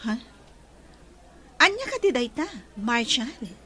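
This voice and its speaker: A woman playing a gracious young Ilocano woman in a village radio drama. Her voice is high and bright and moves with a lot of melody. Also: very animated, slow, slight background noise, full character voice. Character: young woman, gracious farm owner's love.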